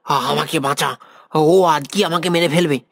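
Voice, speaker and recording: A man playing a terrified, haunted young man in an animated horror story, stammering and repeating himself in panic. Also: on the high side, moderate pace, vocal fry, no echo, studio quality. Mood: panicked, pleading.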